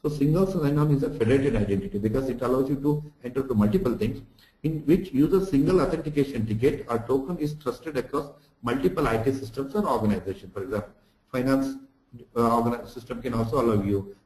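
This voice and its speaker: Male online-course lecturer, medium pitch, dark relaxed timbre, didactic delivery with examples and recaps. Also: fast talker. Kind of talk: slide lecture for an online lesson. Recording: echoey room sound.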